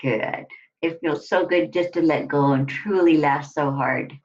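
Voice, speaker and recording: A woman giving a scripted guided relaxation exercise, instructing deliberately in short phrases with pauses between them. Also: deep voice, a little mellow. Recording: dull lo-fi recording.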